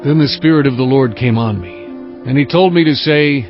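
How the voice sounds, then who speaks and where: An earnest prophet, played by a male actor, recounts his visions in a mid-pitched voice. The telling is measured and solemn, in the style of dramatized scripture, a little dull in sound with music underneath. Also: lightly acted. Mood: solemn, recounting. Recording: slightly dull audio with music behind.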